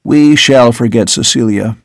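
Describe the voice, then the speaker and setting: A man reading a weary older father, a doctor who speaks softly and gravely. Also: medium pitch, a little slow, no echo, clean studio sound. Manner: soft, tired, grave. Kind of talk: audiobook character reading.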